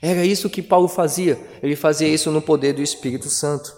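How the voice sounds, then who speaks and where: A man preaches to his congregation in a lofty, high-pitched voice, leaning into dramatic pauses and emphatic phrasing. His words ring out through a church hall, trailing a long echo. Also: darkish tone. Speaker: pastor. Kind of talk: sermon.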